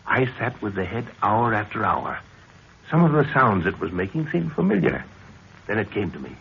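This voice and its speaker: An actor playing an older, obsessed and commanding scientist-explorer in a 1930s radio adventure serial, speaking in an emphatic, declamatory way. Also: male voice, lowish voice, sing-song, normal speed, gravelly, slightly rough, full character voice. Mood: eager, intent. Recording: dull, noisy 1930s radio recording.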